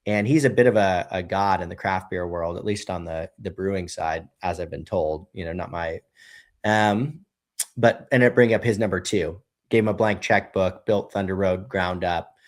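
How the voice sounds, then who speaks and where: Man in his thirties, fairly low voice, casual rambling talk, lots of filler phrases, podcast guest. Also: moderate pace. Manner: rambling, heavy on "you know" and "right?". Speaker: guest, brewery co-founder. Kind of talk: casual conversational storytelling.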